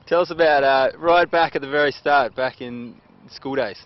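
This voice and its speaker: A man's voice: medium pitch, moderate pace, lo-fi sound. A man interviewing an athlete, giving brief, encouraging prompts. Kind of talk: interview questions.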